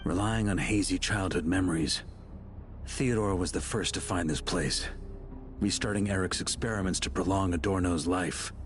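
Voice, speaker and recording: A man playing a composed game investigator with a very low voice. He lays things out in a measured, analytical and matter-of-fact manner, with faint music underneath. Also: natural voice. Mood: composed, explanatory. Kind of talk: video game voice acting.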